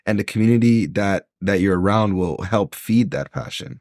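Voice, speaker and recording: A man's voice: fairly low pitch, normal speed, darkish tone, no room sound, clean studio sound. A man from Jamaica, an entrepreneur guest on a podcast, speaking in an earnest, motivational way with frequent fillers and restarts. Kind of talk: casual interview talk. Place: podcast recording.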